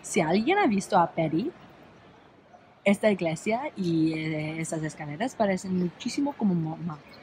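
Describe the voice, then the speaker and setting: A woman's voice: on the low side, animated, normal speed, darkish tone, slight background noise, poor audio. A young woman with English as her first language, vlogging on her travels in learner's Spanish with slips and restarts, filming herself at arm's length on a phone. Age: young adult. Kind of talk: travel vlog to camera. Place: outdoors in a city, phone held at arm's length.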